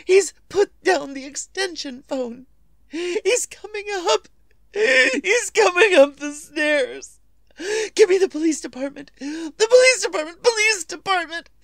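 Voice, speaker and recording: An actress playing a terrified, desperate wife pleading breathlessly and haltingly in an old-time radio thriller. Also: female voice, very high-pitched, lively intonation, vocal fry, dry recording, heavily acted. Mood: hysterical terror. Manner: breathless, halting, repeating herself.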